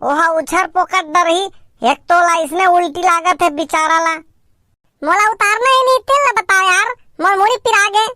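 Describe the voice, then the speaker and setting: A man voicing an excitable, grumbling villager in a cartoon comedy, with a Chhattisgarh accent and an exclamatory, teasing delivery through a pitched-up effect. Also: normal speed, dry recording, studio quality, exaggerated voice. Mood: irritated. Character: excitable, grumbling male villager on a picnic outing.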